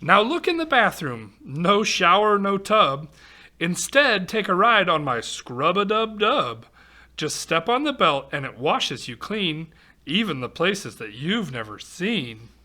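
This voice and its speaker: A man's voice: sits high, big pitch swings, slow pace, bright, forceful tone. A man reading a rhyming picture book aloud to children, expressively, with exclamations and chatty asides. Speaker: volunteer storybook reader for children.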